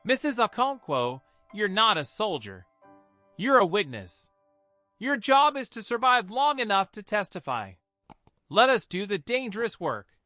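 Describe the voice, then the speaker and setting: A commanding senior policewoman, put on by a male narrator, giving clipped, authoritative lines in a folktale. The voice is raised in pitch, forceful and animated. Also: a little slow, dry recording, soft background music, exaggerated voice. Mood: firm orders.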